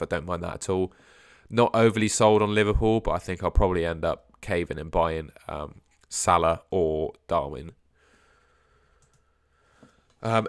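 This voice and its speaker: A young man with a sore throat hosting a casual fantasy football live stream, hedging his picks and trailing off quietly at the ends of phrases. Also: low-pitched, no room sound, clean studio sound. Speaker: fantasy football livestream host. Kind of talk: casual live stream chat answering viewers.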